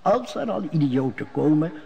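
A man's voice: a little high, big pitch swings, normal speed, gravelly, muffled recording. An actor playing a scheming male court elder who speaks in sly riddles, in an old radio play. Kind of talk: 1950s Dutch radio drama acting.

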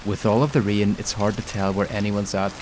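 Man, low voice, gameplay commentary, team callouts, clear rain and game noise behind. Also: fairly quick. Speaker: gaming video creator. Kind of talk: scripted gameplay narration and live team chat. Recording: steady loud background noise. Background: pouring rain and game ambience.

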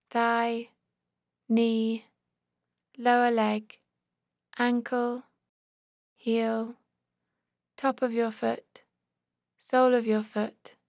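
A woman leading a guided body scan meditation as an instructor, calm and measured, giving short, gentle-paced instructions. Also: a little high, no room sound, clean studio sound.

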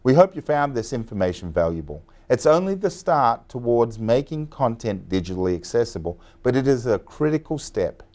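A man in his forties giving a clear, step-by-step scripted software tutorial in a bright studio. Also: mid-range voice, sing-song, normal speed, few pauses. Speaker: software trainer.

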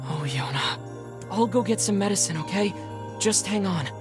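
A man's voice: high-pitched, expressive, normal speed, music in the background. A young-sounding man playing an earnest, kind teenage boy adventurer in a video game, speaking sincerely and plainly. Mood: worried, urgent. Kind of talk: naturalistic game voice acting.

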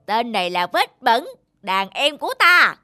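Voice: medium pitch, very animated, measured pace. An actor voicing a boastful, menacing male germ villain in a cartoon, taunting and swaggering. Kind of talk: animated villain acting. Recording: loud music behind.

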